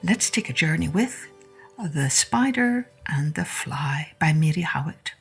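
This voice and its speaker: Slow, low-voiced woman storyteller. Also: animated, creaky, husky, soft background music. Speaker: storytelling podcast host. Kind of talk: scripted storytelling for children.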